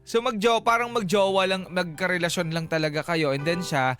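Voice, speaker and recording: A man's voice: high-pitched, steady tone, a little fast, a bit bright. A man co-hosting a radio advice show, casual and inquisitive in conversation. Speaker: radio co-host. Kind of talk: casual phone-in advice chat. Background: faint music.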